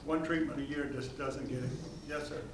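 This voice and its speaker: A man's voice: medium pitch, steady tone, normal speed, a crowd in the background. A man adding matter-of-fact remarks during a class. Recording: distant mic in echoey room with noise.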